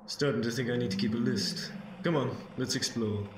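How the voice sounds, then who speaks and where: Man playing eccentric male adventurer, breezy, whimsical, medium pitch, amateur audio drama, slight background noise. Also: moderate pace, roomy sound, natural voice. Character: eccentric, curious, chatty male time-travelling adventurer.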